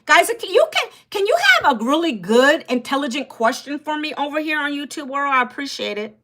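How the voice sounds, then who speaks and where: A woman's voice: sits high, lively intonation, fairly quick, bright, forceful tone. A woman in her fifties from Northern Virginia, chatting casually on a livestream and talking straight to her viewers. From Northern Virginia, USA. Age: 50s. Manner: chatty, talks straight to viewers and reads their comments aloud. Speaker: livestream host.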